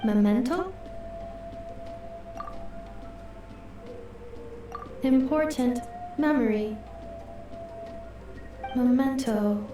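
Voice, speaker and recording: A literal-minded female robot from a video game. The voice sits high and stays formal, analytical and deadpan. It sounds distant and very echoey, with music underneath. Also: slow. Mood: puzzled. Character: literal-minded, polite female robot learning human customs. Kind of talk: scripted video game voice acting.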